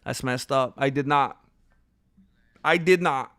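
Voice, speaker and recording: A man's voice: mid-range voice, slow pace, fairly bright tone, no room sound, clean studio sound. A man chatting casually on a live stream, joking and drifting into song, who says he is losing his voice. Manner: jokey, breaks into off-key singing and ad-jingle bits.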